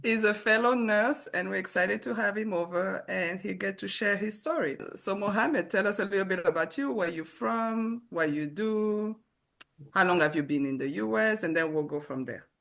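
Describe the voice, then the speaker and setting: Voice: mid-range voice, moderate pace, vocal fry, fairly bright tone. A podcast host who welcomes listeners and interviews a guest in a friendly, chatty way and laughs often.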